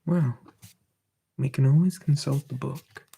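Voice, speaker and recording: Asmr storyteller whispering slow, breathy and gravelly, dark mellow tone. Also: medium pitch. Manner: slow, hushed, playful whisper.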